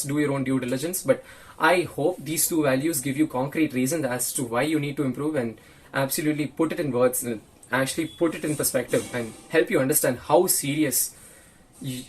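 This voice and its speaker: A man's voice: on the high side, husky, low-quality recording. A nineteen-year-old man talking earnestly and bluntly to the camera, often repeating and restarting his words. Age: young adult, 19. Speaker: video creator. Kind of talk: casual solo talk to camera.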